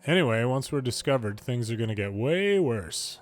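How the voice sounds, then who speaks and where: A man's voice: mid-range voice, lively intonation, normal speed. Man with a mid-range voice for reading game cutscene dialogue with light character acting, faint music underneath. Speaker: fan reader of game dialogue. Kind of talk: reading game story dialogue aloud in English.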